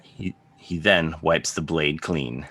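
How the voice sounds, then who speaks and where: A man with a husky voice narrating scripted scene directions for a dramatic table read. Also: rumbling low, takes it slowly, bright, forceful tone. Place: remote video call read. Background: music under the voice.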